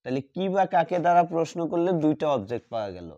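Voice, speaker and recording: A man teaching a grammar lesson, repeating key words slowly as he writes them out. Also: a little high, sing-song, dark tone. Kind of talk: classroom lesson at a board. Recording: dull lo-fi sound.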